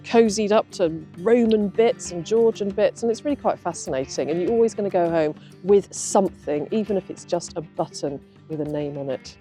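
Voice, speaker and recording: A woman's voice: fairly low pitch, normal speed, hardly any pauses, warm tone, faint music behind. Enthusiastic woman chatting outdoors. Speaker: mudlarker and artist, guest guide. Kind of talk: casual outdoor chat. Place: outdoors on a river foreshore.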